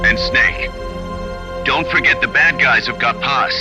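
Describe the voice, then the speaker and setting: An actor playing an earnest military support officer in a video game, brisk and urgent. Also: male voice, mid-range voice, natural voice. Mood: serious. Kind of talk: dramatic video game acting. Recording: loud music and aircraft noise behind.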